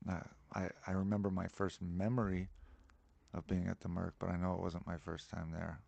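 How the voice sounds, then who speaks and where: A man from Colorado with a low, gravelly voice tells a story as a podcast guest. He rambles easily, with plenty of "uh" and "like". The sound is close and dry. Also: moderate pace, no echo, lo-fi sound. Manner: relaxed storyteller, many fillers. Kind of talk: casual storytelling.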